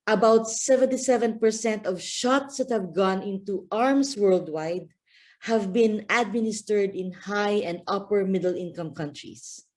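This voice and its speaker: A woman's voice: medium pitch, measured pace, darkish tone. A woman from the Philippines giving an earnest talk at a press briefing over a video call, with frequent fillers. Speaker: guest speaker, campaign manager.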